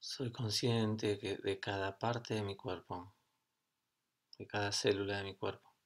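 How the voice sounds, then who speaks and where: Guy doing guided meditation, lowish voice, calm, short phrases w lots of pauses. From Argentina. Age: middle-aged. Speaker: yoga and meditation teacher.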